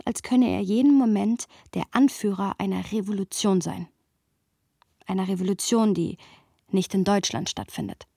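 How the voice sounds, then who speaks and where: A thoughtful young literary woman in a radio play. Her voice sits at a middle pitch with a soft husk, recorded very dry over music. She phrases earnestly and with measured care, reflective yet passionate. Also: vocal fry, husky, no echo. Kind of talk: radio drama narration and dialogue.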